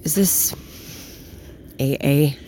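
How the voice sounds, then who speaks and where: An actress playing a nervous, apologetic newcomer in an audio drama, speaking in a timid, tentative way. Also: female voice, sits low, very slow, warm tone, slight background noise. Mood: timid, wary. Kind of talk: audio drama acting, casual dialogue.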